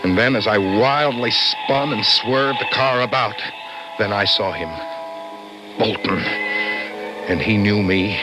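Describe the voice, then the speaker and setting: An actor playing an obsessed, vengeful office worker, intense and brooding, in an old-time radio suspense drama. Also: male voice, on the low side, takes it slowly, pauses often, rough recording. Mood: obsessive, intense. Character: obsessed, vengeful office worker confiding his dreams to a doctor. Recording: vintage radio drama, faint music behind.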